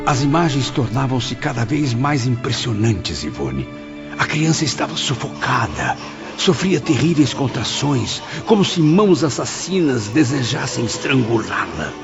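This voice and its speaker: A man's voice: medium pitch, big pitch swings, unhurried, creaky. A man playing a compassionate, awed spirit who recounts his visions in an audio drama, earnest and troubled. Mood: troubled, sorrowful. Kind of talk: audio drama acting and narration. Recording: dull lo-fi audio, music bed.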